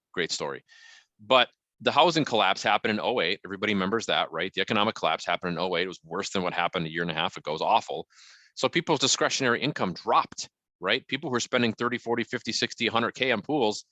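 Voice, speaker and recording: Middle-aged male consultant: booming, deep-leaning voice, dry close sound, emphatic and conversational. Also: sharp tone, dry recording. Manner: emphatic, punchy, frequently checking in with "right?". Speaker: guest marketing consultant. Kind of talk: conversational business advice to an online group.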